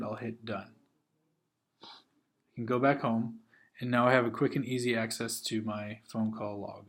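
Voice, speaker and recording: A man narrates a software training video with a mid-range voice. He works through instructions methodically, one step at a time, in the manner of a trainer. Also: medium pitch.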